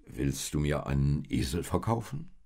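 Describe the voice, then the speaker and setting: A man's voice: rumbling low, sing-song, normal speed, vocal fry, mellow, pitched below the performer's own voice, exaggerated voice. A man voicing a poor, humble, weary husband in a storybook tale, earnest and hesitant. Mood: hesitant, pleading. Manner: hesitant, subdued. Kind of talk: storybook character voice in narrated tale.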